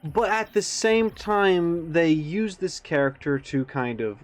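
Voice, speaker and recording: A man's voice: a little high, slow pace. Theatrical man hosting, music behind. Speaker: reaction video host. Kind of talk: casual commentary to viewers.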